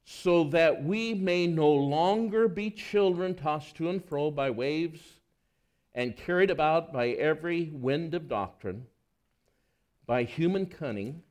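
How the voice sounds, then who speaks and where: A man preaching in a relaxed, talky way, firing off rhetorical questions at his congregation. His voice sits a little high for a man and swings widely in pitch, climbing when he gets worked up and dropping low when he reads scripture. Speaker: pastor. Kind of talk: sermon.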